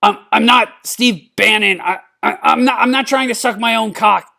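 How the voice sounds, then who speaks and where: A man voicing a belligerent, drunken old mad scientist in a cartoon-style comedic reading, gravelly and stammering, repeating himself and cursing. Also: high voice, normal speed, sharp tone, full character voice. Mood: defiant. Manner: stammering, repeating words, profane.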